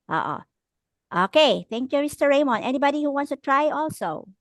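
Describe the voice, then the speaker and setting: A woman's voice: high-pitched, expressive, normal speed, no room sound, clean studio sound. A woman with a Filipino accent teaching an online class, encouraging students as she talks and switching between English and Tagalog. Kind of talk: casual lecture coaching students.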